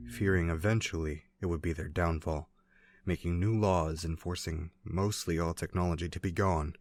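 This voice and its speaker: A man voicing a stern, cynical know-it-all in a comic dub, speaking in a measured, solemn and dry way. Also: low-pitched, noisy background. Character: stern, cynical male know-it-all recounting grim island history. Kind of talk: dramatic comic dub acting. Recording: voice over loud background music.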